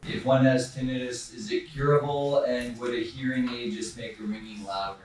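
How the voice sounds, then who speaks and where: A man's voice: mid-range voice, level tone, moderate pace. A man in the audience asking questions in a tentative, hesitant way. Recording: very echoey room, faint background noise.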